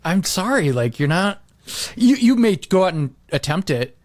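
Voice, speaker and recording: A middle-aged American man chatting casually as a podcast host, rambling and repeating himself with animated emphasis. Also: sits high, moderate pace, no room sound.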